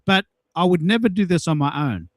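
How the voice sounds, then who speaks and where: A man's voice: sits high, lively intonation, brisk, no echo, studio quality. A man hosting a casual audio chat, telling personal anecdotes in an emphatic way and repeating himself for effect. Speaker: host of a social audio show.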